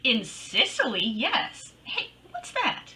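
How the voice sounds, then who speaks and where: A woman's voice: on the high side, huge pitch swings, takes it slowly, bright, forceful tone, exaggerated voice. A woman playing a wisecracking, breezy female private detective in old-time radio style, with wry, slangy delivery. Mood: dry, wisecracking. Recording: distant microphone.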